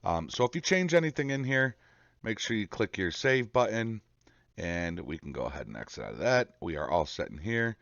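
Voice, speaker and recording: Man, low deep voice, casual tutorial host, folksy and reassuring, step-by-step delivery, echoey dull home recording. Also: very animated, normal speed, a bit bright. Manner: folksy, reassuring, often checks in with okay. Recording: muffled, roomy home recording.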